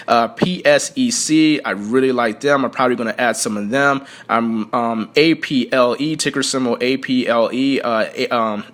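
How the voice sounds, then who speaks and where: A young man in the middle of his pitch range talks loosely to his viewers, as if thinking aloud. He rambles, stacks up fillers and keeps restarting his sentences. The tone is plain and conversational. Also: fairly bright tone. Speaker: personal finance vlogger. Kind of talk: casual unscripted talk to viewers.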